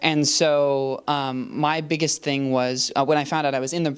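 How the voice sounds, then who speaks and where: Young guy talking theater, smooth clear voice, lots of ums and restarts. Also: a little high, flat delivery, moderate pace. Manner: thoughtful, hesitant, many restarts and fillers. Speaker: student actor and assistant director. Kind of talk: casual interview answers.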